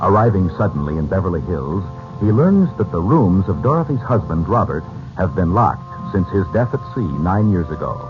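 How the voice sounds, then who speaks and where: A man's voice: sits low, sing-song, moderate pace. A man with an American accent giving formal, dramatic scripted narration as a 1940s radio announcer. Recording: muffled 1940s radio recording with music.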